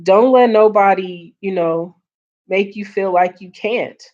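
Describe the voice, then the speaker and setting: A woman hosting a casual live stream, earnest and repeating her points, greeting viewers, her voice getting hoarse. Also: mid-range voice, unhurried. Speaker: livestream host. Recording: dull lo-fi stream audio.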